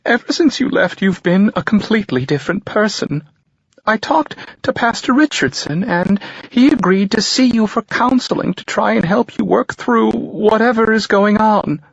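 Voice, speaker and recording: A man voicing a middle-aged mother on the phone, crying and pleading in a choked, high voice, in an audiobook. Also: moderate pace, gravelly, breathy edge, no echo, heavily acted. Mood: tearful pleading. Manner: pleading, insistent. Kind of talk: audiobook character voice. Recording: dull lo-fi audio.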